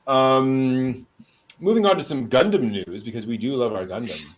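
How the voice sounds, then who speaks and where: A man's voice: medium pitch, normal speed. A man hosting a casual discussion of entertainment news, talking informally to others. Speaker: host.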